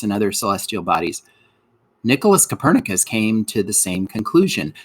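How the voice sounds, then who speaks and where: A man reads a children's educational text aloud in the role of a teacher. His intonation is lively and varied, rising and falling freely. He explains as he goes, leaning on important words to guide young listeners. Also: medium pitch. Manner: explanatory, poses rhetorical questions, stresses key words. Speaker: teacher reading a lesson aloud. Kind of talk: educational read-aloud of scripted narration for children.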